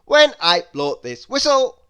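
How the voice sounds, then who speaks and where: A woman's voice: fairly high pitch, very animated, unhurried, a bit bright, clean tone, pitched above the performer's own voice. A woman voicing a bossy, bustling storybook man who gives emphatic commands. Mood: commanding. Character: bossy, bustling man giving loud orders.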